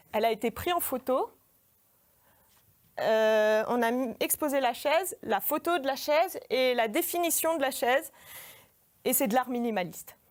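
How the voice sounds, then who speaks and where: A woman's voice: fairly high pitch, a little mellow. A woman giving a humorous autobiographical stage monologue in an informal, hesitant, self-deprecating way. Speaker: artist giving a performance lecture. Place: in front of a live audience.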